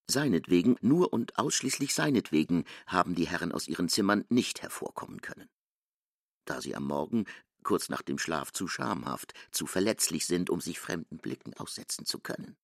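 A man's voice: low-pitched, big pitch swings, normal speed, gravelly, dry recording, studio quality. A man delivers dramatic scripted literary narration in a radio drama.

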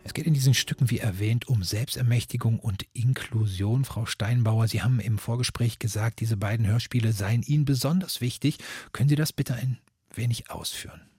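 A man's voice: medium pitch, brisk, a little mellow, husky, no room sound. A man hosting as moderator, delivering a prepared, orderly presentation. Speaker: host, moderator.

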